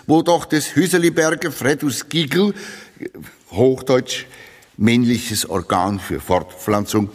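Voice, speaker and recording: A man's voice: on the high side, full character voice. A man playing a pompous literary lecturer, speaking in ornate, mock-solemn and emphatic scripted phrases. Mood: declamatory. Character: pompous literary lecturer eulogizing an obscure lady diarist. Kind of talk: satirical scripted lecture with read-out quotations. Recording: long reverberant hall.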